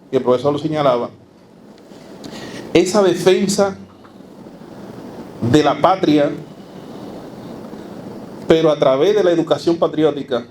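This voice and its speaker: A man with a Dominican accent giving an academic lecture to a live audience, emphatic and halting, repeating phrases. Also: medium pitch, darkish tone, rough recording. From Dominican Republic. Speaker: academic speaker. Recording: live talk with noticeable background noise.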